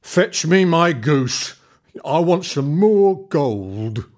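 A man voicing a huge, bad-tempered giant in a storybook reading, booming and demanding. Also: lively intonation, takes it slowly.

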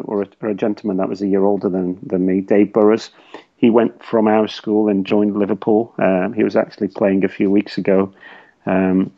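A man's voice: on the low side, gravelly, dark tone, highs cut off. A middle-aged man from the English Midlands with a Midlands accent, chatting casually on a podcast and rambling with lots of fillers. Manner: rambling, frequent fillers and restarts. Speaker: podcast guest.

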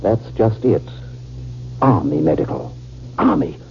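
An actor playing a nervous, formal army surgeon in a 1950s radio drama. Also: male voice, fairly low pitch, sing-song, measured pace, creaky, slight background noise, exaggerated voice. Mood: anxious. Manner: anxious, formal, emphatic repetition. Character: nervous male army surgeon, secretly an enemy agent. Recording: muffled, band-limited 1950s radio recording.

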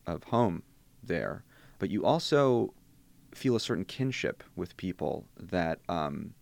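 Grad student, twenties, native Bosnian speaker, low gravelly voice, thoughtful and wry. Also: male voice, expressive, slow pace, darkish tone. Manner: thoughtful, restarts phrases, wry asides. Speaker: interviewee, graduate student. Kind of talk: conversational interview.

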